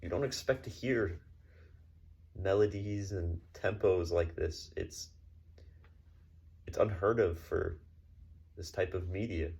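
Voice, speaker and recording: Man acting a halting, shaken, low-voiced musician. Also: creaky, darkish tone, heavily acted. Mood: troubled. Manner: halting, stammering. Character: shaken, uncomfortable orchestra musician, earnest and apologetic. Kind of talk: mock newsreel interview. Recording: faint background noise.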